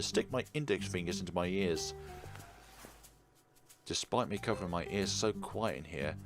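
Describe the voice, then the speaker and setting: A man hosting a game playthrough, reading story text aloud, acting out dialogue and adding his own commentary. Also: sits low. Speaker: gaming video host. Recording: loud music behind the voice. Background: game music.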